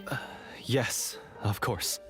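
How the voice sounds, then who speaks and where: An actor playing a sheepish, remorseful hunter in a video game, apologetic and earnest with hesitant phrasing. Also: male voice, very slow, in a whisper, over music.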